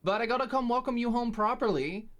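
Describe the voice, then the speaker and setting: A woman's voice: a little high, quick pace, no echo, lightly acted. A woman playing an affectionate, fussing and coaxing ex-manager girlfriend in an intimate ASMR roleplay. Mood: eager, welcoming. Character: doting, eager, dominant ex-manager girlfriend at home.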